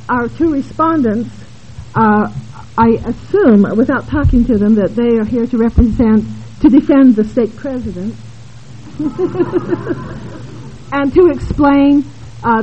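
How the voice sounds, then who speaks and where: A woman moderating a conference panel, introducing the speakers in a halting way with many fillers and asides, in a room with an audience. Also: on the high side. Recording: dull lo-fi conference tape with faint hiss.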